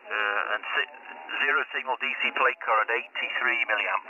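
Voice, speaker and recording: A man talking casually and technically as an amateur radio operator, halting and repeating words, over shortwave. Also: medium pitch, bright, forceful tone. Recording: dull, distant shortwave radio, babble behind.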